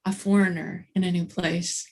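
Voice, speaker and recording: A woman hosting an online book launch over a video call, appreciative and quick to laugh. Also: lowish voice, little pitch movement, a little mellow. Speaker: event host.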